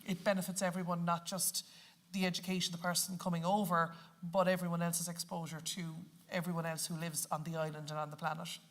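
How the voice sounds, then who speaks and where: Irish woman, lecturer, low voice, chatty, rambling. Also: little pitch movement, a little fast. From Ireland. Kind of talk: panel discussion.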